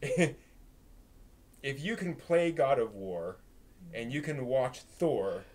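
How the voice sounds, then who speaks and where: A man's voice: medium pitch, sing-song, slow pace, a little mellow. A man talking casually as a live stream host, in a chatty, jokey way. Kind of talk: casual group discussion. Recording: clear background noise and ambience.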